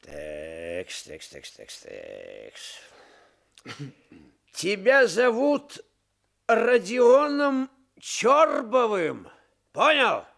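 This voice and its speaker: Smug tsarist interrogator for radio drama: gravelly, very unhurried, theatrical, muttering then barking. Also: male voice, high-pitched, wildly swooping pitch, a bit bright, full character voice. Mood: gloating, accusing. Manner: theatrical, muttering to himself, sudden barks. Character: tsarist police officer interrogating a prisoner, smug, menacing. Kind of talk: Soviet radio drama acting.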